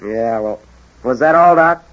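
A man's voice: medium pitch, a little slow, close to an everyday voice. An actor playing a cocky, evasive seventeen-year-old boy in an old-time radio drama, with a slangy, offhand, defiant delivery. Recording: dull old radio broadcast recording.

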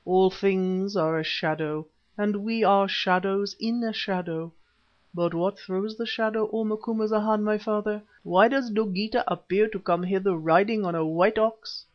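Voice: mid-range voice, close to an everyday voice. Solemn wise warrior, read by a narrator. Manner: measured, solemn, probing. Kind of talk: audiobook reading. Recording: dull lo-fi recording.

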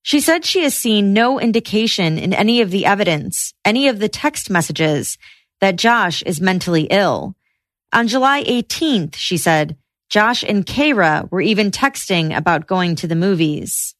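A woman podcast host narrating trial news in a measured, reportorial way. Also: medium pitch, lively intonation, no room sound, clean studio sound.

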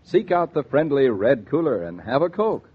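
A husky, animated man announcing in 1940s radio style. He is polished and salesmanlike, with the muffled sound of an old broadcast transcription. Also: a little high, normal speed.

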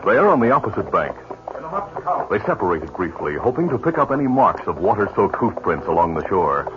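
A man giving measured, dramatic scripted narration for a 1950s radio western. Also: fairly low pitch, sing-song. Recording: muffled old radio broadcast with hiss.

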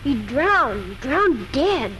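A little farm girl, speaks in a very high, innocent voice, pressing her questions earnestly. It is 1940s radio drama with faint noise on the old recording. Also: big pitch swings, drawn-out words, a bit bright, full character voice, poor audio. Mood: curious, worried.

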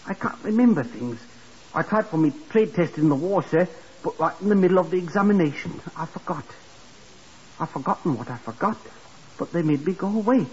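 A man's voice: on the high side, animated, fairly quick, a little mellow, husky. An actor in a 1950s radio drama playing a simple, forgetful young working man, deferential and earnest as he rambles. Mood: apologetic, confused. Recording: dull vintage 1950s radio recording.

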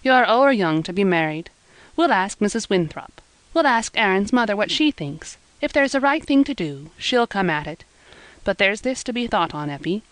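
Old weaver guy read by a woman, slow and kindly, country dialect, dry sound. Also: mid-range voice, big pitch swings, a bit bright, no room sound, low-quality recording. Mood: gentle. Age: older. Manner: slow and measured, rural dialect speech.

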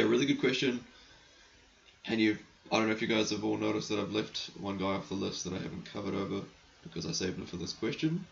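A man hosting a casual hockey podcast and answering listener questions. He is losing his voice, clears his throat often, and talks in a rambling, frank, sometimes crude way. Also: lowish voice, dull audio. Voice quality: gravelly, croaky.

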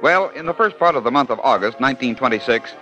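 A man's voice: mid-range voice, lively intonation, quick pace, bright, forceful tone, faint music behind. A man hosting an old radio feature, narrating odd stories in a genial, showman-like scripted style. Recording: dull old radio transcription.

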